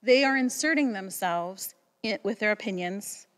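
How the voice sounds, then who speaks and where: A woman's voice: mid-range voice, normal speed. Woman preaching in a reverberant church. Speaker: guest pastor. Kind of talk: sermon. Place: church sanctuary at a pulpit. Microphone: gooseneck pulpit mic.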